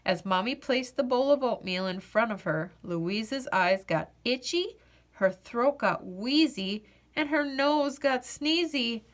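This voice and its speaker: Casting: female picture-book narrator, higher register, very unhurried delivery, dramatic pauses. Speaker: read-aloud storyteller. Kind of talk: children's picture book narration, expressive and deliberate.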